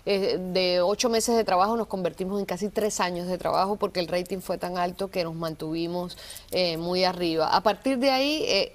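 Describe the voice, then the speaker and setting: A woman from Cuba, an actress, chatting in an interview about her career, thoughtful and unhurried, with many small pauses. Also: mid-range voice. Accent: neutral Colombian-trained Spanish with Cuban traces. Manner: warm, reflective, many fillers and pauses. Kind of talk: casual interview talk.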